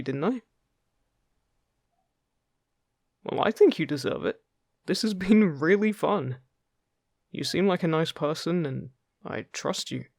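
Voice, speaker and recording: A man playing a friendly, upbeat fairy guide in an intimate fantasy roleplay, chatty, gentle and encouraging with the listener. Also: fairly high pitch, vocal fry, no room sound, studio quality, full character voice. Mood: sincere, warm. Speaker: voice actor in an audio roleplay.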